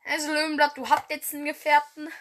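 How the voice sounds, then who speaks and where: A woman's voice: high-pitched, completely flat delivery, quick pace, fairly bright tone, a little hiss or hum. A young woman hosting her own fan podcast, rambling casually with lots of fillers and slang, her nose stuffed up from a cold. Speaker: podcast host.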